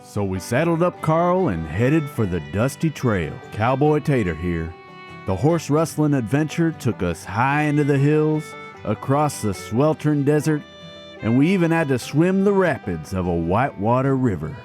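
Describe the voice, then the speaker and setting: A man's voice: mid-range voice, very animated, moderate pace, darkish tone, faint music behind, close to an everyday voice. Man putting on a folksy drawling cowboy storyteller. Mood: folksy, unhurried. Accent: American cowboy. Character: folksy old-time cowboy telling a trail tale. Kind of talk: scripted campfire-style story narration.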